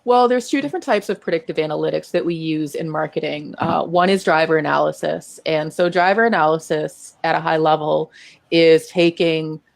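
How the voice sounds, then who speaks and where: A woman's voice: low-pitched, normal speed, gravelly, no room sound. A woman with a Boston accent, a guest explaining predictive analytics in a casual, self-deprecating interview.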